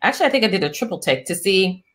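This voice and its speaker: A middle-aged woman hosting an interview, talking in a soft, soothing way with many restarts. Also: medium pitch, animated, rapid-fire. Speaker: interview host, psychiatrist. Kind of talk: casual interview chat.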